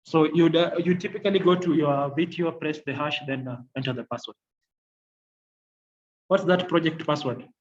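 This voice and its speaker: A man giving a software demonstration as a technical trainer, explaining in a halting, repetitive way. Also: a little high. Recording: dull lo-fi audio, faint noise.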